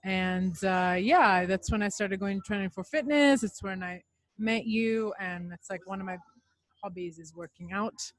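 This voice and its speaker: A woman's voice: mid-range voice, unhurried, no echo. Chatty young woman raised in Dubai. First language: Serbian. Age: young adult. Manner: open, chatty storytelling. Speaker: guest. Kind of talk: casual interview chat. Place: cafe.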